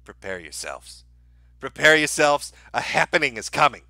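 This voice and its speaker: A man's voice: mid-range voice, sing-song, moderate pace, sharp tone, no room sound. A man giving casual livestream commentary as host, with a sardonic, mocking and profane way of talking.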